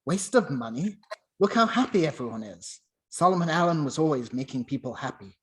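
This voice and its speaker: A man's voice: a little high, expressive, a little fast, lightly acted. A man playing a stubborn, haunted old miser in a radio play, theatrical and exclaiming. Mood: fond, nostalgic. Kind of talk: amateur radio play acting.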